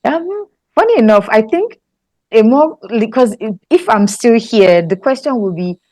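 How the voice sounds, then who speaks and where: A woman from Nigeria with a Nigerian accent, a guest scriptwriter chatting in an interview, thoughtful and quick to laugh, often restarting her sentences. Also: a little high, animated, warm tone, dry recording. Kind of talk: casual interview chat.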